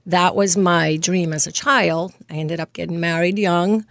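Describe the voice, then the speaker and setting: A woman in her fifties from Greece, a nurse practitioner telling her story as an interview guest, earnest and quick to laugh. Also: lowish voice, steady tone, creaky, fairly bright tone, no echo, studio quality. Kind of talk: casual interview.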